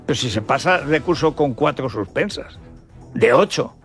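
An older man from Spain delivers a radio monologue in a mid-pitched voice, with music audible behind him. He sounds indignant and colloquial, arguing through rhetorical questions in his Spanish accent. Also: animated, moderate pace, fairly bright tone, slightly rough. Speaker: radio commentator and host.

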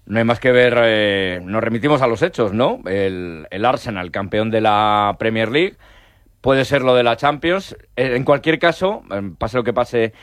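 A man from Spain hosting a sports talk show, talking in a measured way with frequent hesitations. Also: medium pitch, no room sound, studio quality. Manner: measured, hesitant with many fillers. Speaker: host.

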